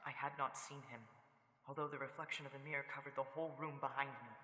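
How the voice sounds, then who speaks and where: A man playing an uneasy traveller who recounts his ordeal in grave, deliberate, suspenseful radio horror narration. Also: mid-range voice, steady tone, close to an everyday voice. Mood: fearful, trapped. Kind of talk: dramatic first-person radio horror narration. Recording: echoey reverb, loud background ambience.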